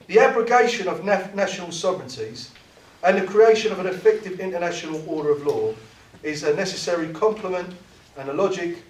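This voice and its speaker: A man delivers a fervent political speech, often stumbling and repeating words as he pushes his point. His voice sits a little high for a man. It is captured from a distance in a roomy meeting hall. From South East London. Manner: impassioned, restarts and repeats words. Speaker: trade union activist speaker. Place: meeting room.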